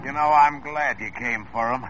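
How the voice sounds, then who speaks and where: An actor playing a smooth-talking used car dealer with folksy sales patter. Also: male voice, mid-range voice, moderate pace, over loud music, close to an everyday voice. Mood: salesy. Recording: muffled old radio recording with faint hiss.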